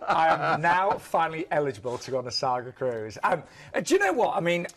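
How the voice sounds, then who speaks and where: A man in his fifties with a croaky voice, presenting a live shopping show in a chatty, upbeat way with easy laughter. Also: on the high side, big pitch swings, fast, crowd noise. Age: 50s. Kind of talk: live shopping channel banter.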